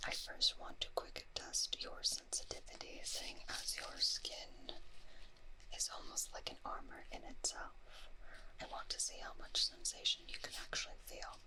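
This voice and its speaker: A fascinated, clinical doctor played by a woman in a fantasy ASMR roleplay. The voice stays in a whisper and moves very slowly, murmuring methodical observations and careful questions to the patient. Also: close to an everyday voice, rough recording. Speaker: ASMR performer playing a doctor.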